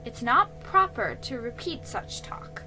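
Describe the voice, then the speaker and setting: A woman voicing a stern, proper mother who scolds in a slow, measured way. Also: fairly high pitch, sing-song, music in the background, low-quality recording.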